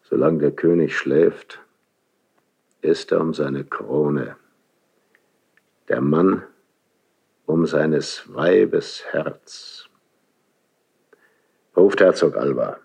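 An actor playing a brooding, suspicious, wounded king in classical German verse radio drama, gravelly and husky, with a halting, theatrical delivery. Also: rumbling low, a little mellow, full character voice. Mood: suspicious, restless.

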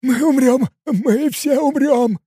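A man's voice: very high-pitched, expressive, slow pace, mellow, slightly rough, dry recording, pitched above the performer's own voice, heavily acted. A man voicing a panicky, cowardly, foul-mouthed young male sidekick with a frantic, whiny delivery in an audiobook. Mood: anxious, whining.

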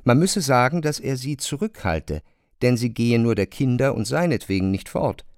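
Low-pitched male narrator in a dry studio. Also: very animated, normal speed, a little mellow, no room sound. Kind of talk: literary radio play narration.

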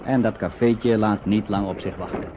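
A man's voice: on the low side, steady tone, moderate pace, very noisy, close to an everyday voice. An actor narrating a scripted radio drama about wartime refugees in a man's storytelling voice. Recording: muffled phone-like old radio recording.

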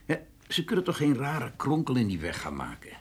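An actor playing a shrewd, reassuring insurance agent in a radio drama, matter-of-fact and persuasive, pausing to think aloud. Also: male voice, mid-range voice, wildly swooping pitch, noisy background. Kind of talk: naturalistic radio drama acting.

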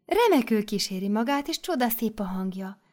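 A woman putting on a gushing high-voiced mother superior. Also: big pitch swings, darkish tone. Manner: gushing, effusive, commanding. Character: doting excitable older female mother superior.

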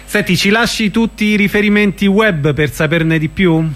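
Radio host guy, kinda high voice, short questions. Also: normal speed, fairly bright tone. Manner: brief prompts and backchannel. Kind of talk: interviewing. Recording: radio broadcast.

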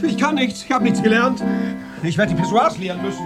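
A panicky, self-pitying man in a radio play. His high voice swings wildly as he laments. Piano music is clearly heard behind him. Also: expressive, a little fast. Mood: panicked, self-pitying. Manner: lamenting, exclamatory. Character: despairing, panicky man fearing for his career. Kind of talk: German radio drama acting.

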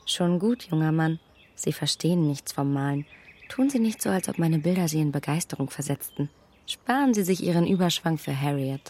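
Self-assured young gentlewoman. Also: deep voice, expressive, moderate pace, a little hiss or hum. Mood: dry, mocking. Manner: polite aloud, drily mocking in private. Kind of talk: period radio drama acting.

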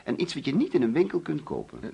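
An actor playing an overbearing, jovial middle-aged father in a Dutch radio play, theatrical and teasing, with deliberate pauses. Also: on the high side, wildly swooping pitch, creaky, mellow, slightly rough, dull audio, exaggerated voice. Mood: teasing, grandiose. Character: overbearing, jovial father hosting an uneasy birthday party.

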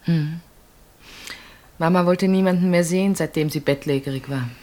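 A woman's voice: on the low side, moderate pace, warm tone, lightly acted. An actress playing a reserved, anxious woman in a radio play, speaking in restrained, thoughtful replies. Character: reserved, worried woman, the husband's sister-in-law Marianne. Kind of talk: radio play acting, intimate dialogue.

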